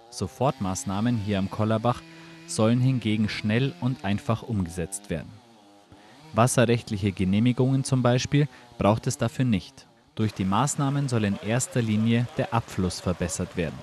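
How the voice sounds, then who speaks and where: Lower-voiced man on news voice-over. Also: normal speed, a little mellow. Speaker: reporter.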